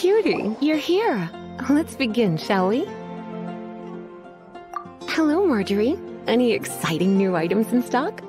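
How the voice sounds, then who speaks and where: A woman's voice: a little high, big pitch swings, a little slow, long pauses, over music. A woman playing a flirtatious, languid librarian in a video game, with a teasing, coy delivery and a faint edge of menace. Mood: sweetly flirtatious. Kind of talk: English video game voice acting.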